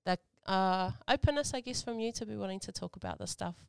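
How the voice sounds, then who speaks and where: New Zealand woman hosting, gravelly and clear. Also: mid-range voice, fast talker, no room sound, studio quality. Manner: relaxed, friendly, lots of fillers and te reo Māori greetings. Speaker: host. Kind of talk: casual interview chat.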